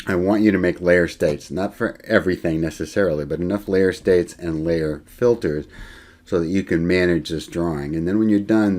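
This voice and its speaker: A man with a very deep, low voice walks students through a software demonstration in an easygoing lecture. He thinks aloud, pauses on fillers and corrects himself as he goes. Keyboard typing clicks in the background. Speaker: college CAD instructor. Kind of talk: screen-recorded software lecture.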